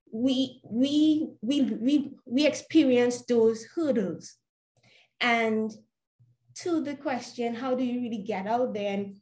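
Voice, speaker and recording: A young woman with a Trinidadian accent, answering earnestly as a webinar panelist, measured and repeating words as she searches for them. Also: fairly high pitch, a little mellow. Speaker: panelist, startup founder. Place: home room, plain wall. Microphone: laptop webcam video call. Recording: roomy echoey home room.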